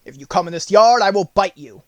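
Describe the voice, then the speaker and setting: A fierce storybook lion, played by a man, blunt and defiant. His short statements come out forceful and loud, in a dry, close sound. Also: sits high, brisk, no room sound, pitched above the performer's own voice.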